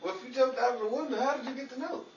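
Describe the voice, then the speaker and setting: A man playing a blabbing, deducing detective in a 1940s-style radio comedy, hammy and a little halting. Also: extremely high pitch, dull audio. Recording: roomy, distant recording with faint noise.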